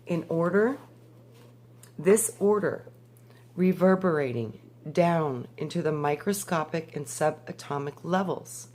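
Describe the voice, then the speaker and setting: A woman, hoarse from a cold, giving a slow, measured spiritual talk with many pauses. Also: low voice, a little mellow. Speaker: spiritual teacher.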